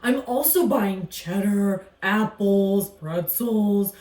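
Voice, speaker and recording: A woman voicing a grumpy, exasperated male alligator in a storybook, loud, indignant and emphatic. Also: medium pitch, takes it slowly, lightly acted. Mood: defensive, annoyed. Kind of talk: storybook character voice in a read-aloud. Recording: echoey room, distant from mic.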